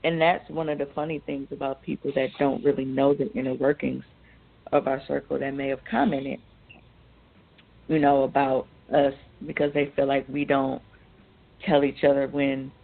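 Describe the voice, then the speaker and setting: Low gravelly woman on a phone-like line. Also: little pitch movement, moderate pace. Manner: assertive, outspoken, slangy. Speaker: radio show co-host. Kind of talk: casual panel discussion. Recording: tinny, band-limited phone-line sound.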